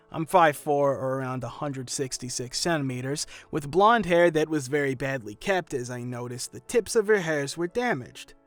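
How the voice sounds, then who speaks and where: A man with a fairly high voice reads out a reader's story, expressive and animated, letting little laughs slip in. He sounds dry and close, with faint music underneath. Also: dry recording. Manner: lively, expressive reading with small laughs. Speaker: story narrator. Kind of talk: scripted narration of a reader's story.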